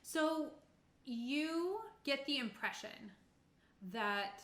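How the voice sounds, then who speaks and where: A woman narrating as game master in a casual, improvised, halting way. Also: sits high, lively intonation, a bit bright. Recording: roomy, echoey sound.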